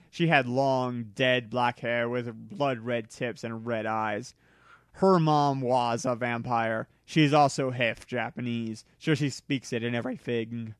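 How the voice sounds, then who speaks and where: Slow, clearly spoken male story reader. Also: medium pitch, no echo, studio quality. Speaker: narrator reading fan fiction aloud. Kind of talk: dramatic amateur story reading.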